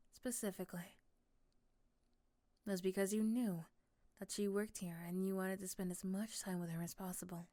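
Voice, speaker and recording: A woman narrating a scripted ASMR romance in the second person, softly and often dropping to a whisper. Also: medium pitch, no echo.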